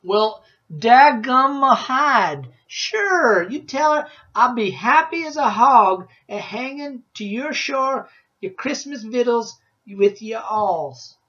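A man voicing a cheerful old backwoods woodsman with loud, drawling hillbilly speech, higher than his own voice. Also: animated, takes it slowly, exaggerated voice. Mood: folksy. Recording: dull lo-fi audio.

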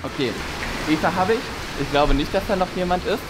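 A man's voice: high voice, fairly quick, rough recording. A man in his thirties giving a casual, self-deprecating running commentary, thinking aloud in an indoor water park. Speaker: video host, the seeker in hide and seek. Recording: loud music over indoor camera audio. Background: loud music, water noise.

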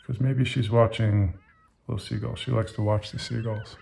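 A man's voice: on the low side, level tone, normal speed, creaky, mellow, a little hiss or hum. A man in his fifties speaking casually and simply to children as an author and illustrator, reading aloud and guiding drawing. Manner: casual, simple wording, encouraging asides to young viewers. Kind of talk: children's picture-book read-aloud and drawing tutorial.

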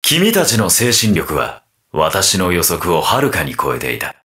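An actor playing a ruthless, mocking undead armored mercenary villain in a video game, with a taunting, theatrical delivery. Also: male voice, sits low, wildly swooping pitch, unhurried, sharp tone, exaggerated voice. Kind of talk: video game battle voice acting.

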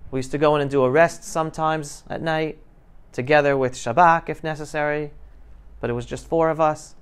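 Male guest speaker, around 50, smooth clear voice, informal classroom talk, emphatic and interactive. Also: on the high side, fairly even intonation, a little fast. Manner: emphatic, questioning the audience. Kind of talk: informal talk to high school students. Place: classroom, indoors. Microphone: lapel mic.

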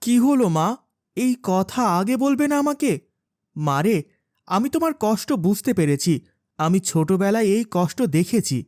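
A woman's voice: medium pitch, very animated, moderate pace, a little mellow, no echo, studio quality, natural voice. A woman voicing a caring, pious father with an emphatic manner in a children's moral cartoon. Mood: concerned.

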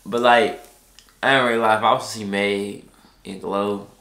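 A man's voice: fairly low pitch, fairly even intonation, moderate pace, gravelly, sharp tone. Stuffed-up young man, playful rambler. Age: young adult. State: stuffed-up nose. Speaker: reaction channel host. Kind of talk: casual chat. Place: living room at home. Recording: echoey room.

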